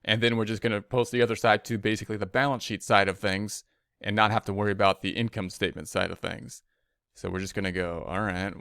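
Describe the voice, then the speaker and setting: Low, clear-voiced man teaching step by step. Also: a bit bright, dry recording, clean studio sound. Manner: informal, step-by-step, repeats himself. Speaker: accounting instructor. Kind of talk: screen tutorial, thinking aloud while working a spreadsheet.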